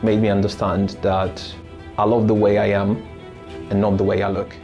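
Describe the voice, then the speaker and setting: Deep, mellow-voiced man from Italy. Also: completely flat delivery, soft background music. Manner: thoughtful, halting, many restarts and fillers. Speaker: podcast guest. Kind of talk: casual interview storytelling.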